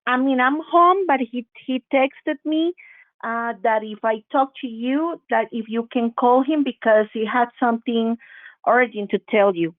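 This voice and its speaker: A woman's voice: on the high side, unhurried, no echo. A woman with a Brazilian Portuguese accent, a scam caller in a casual phone chat who keeps calling the listener honey. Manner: sweet-talking, calls him honey. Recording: tinny dry phone line.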